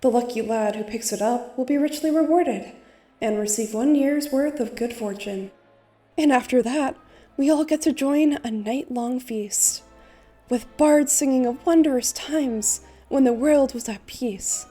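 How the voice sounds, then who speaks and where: A playful young male bard acted by a woman for a manga dub, with a fairly high, soft-edged voice. The delivery is theatrical and lilting, full of rhyme and cheek. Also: a little slow, soft background music. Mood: excited, lyrical.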